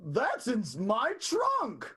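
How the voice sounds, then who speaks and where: An actor playing a blunt, scheming vaudeville performer in an improvised radio soap, with a husky, halting conversational delivery. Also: medium pitch, wildly swooping pitch, noisy background. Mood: joking.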